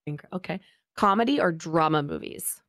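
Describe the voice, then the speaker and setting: Co-host: young woman, bouncy animated pitch, teasing, giggly, dry booth sound. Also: fairly quick, dry recording. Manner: playful, teasing, laughs often. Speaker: podcast co-host. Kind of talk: casual podcast chat.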